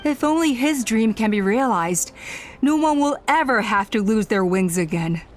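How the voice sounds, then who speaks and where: Brief: woman as a middle-aged scheming elder in a game, slightly high voice, calm persuasive command. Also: normal speed, a little hiss or hum, lightly acted. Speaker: tribe elder.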